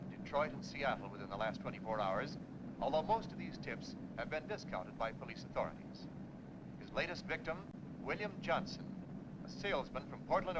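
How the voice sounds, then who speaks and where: An actor playing a formal newscaster reading a 1950s radio bulletin, heard through a car radio. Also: male voice, a little high. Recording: dull car radio sound, loud engine noise.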